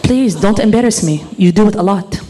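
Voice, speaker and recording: A woman putting on her weary, judgmental immigrant mother, pleading in a lower voice. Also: expressive, a little fast, close to an everyday voice. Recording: noisy live club recording.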